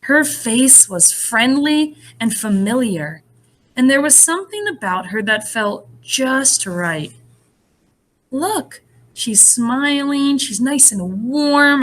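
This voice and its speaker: A woman speaking as a first-grade teacher, reading and explaining to young children in an animated, encouraging way. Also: high-pitched, a little slow. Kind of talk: read-aloud and lesson addressed to young children.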